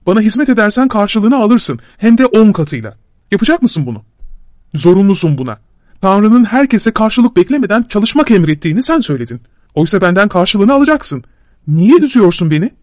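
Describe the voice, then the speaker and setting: A man's voice: high voice, darkish tone, no echo, clean studio sound, voice pushed up. A man voicing a whiny, boastful young vagabond who pleads and talks down in a petulant way. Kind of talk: audiobook character voice.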